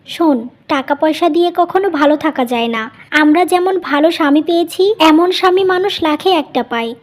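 A woman plays a gentle young wife, the sensible elder sister of a cartoon family tale. Her voice is high and her manner patient. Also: moderate pace, natural voice. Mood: reassuring. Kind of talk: Bengali cartoon story voice acting.

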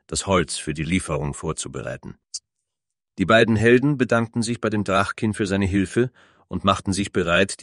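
A man's voice: fairly low pitch, no room sound, studio quality. A man reading scripted narration for a fantasy story as its narrator.

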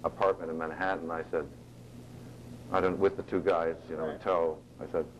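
A man answering interview questions in a halting way, with many fillers and restarts. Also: sits low, little pitch movement, highs cut off, slight background noise.

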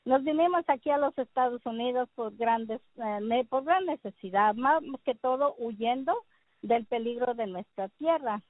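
A woman's voice: a little high, normal speed, no echo. Woman on a tinny phone line. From Jalisco, Mexico. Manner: hesitant, with many fillers and restarts. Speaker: caller giving testimony. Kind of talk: telling a personal story by phone.

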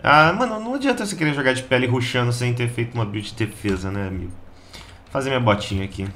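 A slightly hoarse Brazilian man giving a casual, slangy gameplay guide as host of a gaming channel. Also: medium pitch, expressive, quick pace, sharp tone. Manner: slangy, informal, chatty. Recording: keyboard clatter and background noise.

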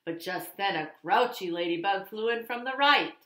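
A woman putting on a cheerful, excited guest-reader voice for children, high and loud. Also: normal speed. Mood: storytelling. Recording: echoey room.

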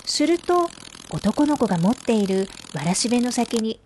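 High, lively woman narrator. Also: normal speed, a little hiss or hum. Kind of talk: scripted storybook narration for an educational video. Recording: music playing behind the voice. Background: background music.

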